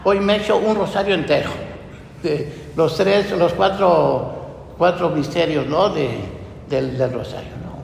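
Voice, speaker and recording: Slow-preaching priest in an echoey church. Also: male voice, creaky. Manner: slow, earnest preaching with rhetorical questions. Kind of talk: homily after a Gospel reading. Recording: echoey church hall with low rumble.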